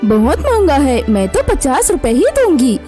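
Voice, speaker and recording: A woman's voice: sits high, big pitch swings, moderate pace, dark tone, faint music behind. A woman voicing a matter-of-fact, curt, haggling female bird customer in a cartoon story. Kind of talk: Hindi cartoon moral story.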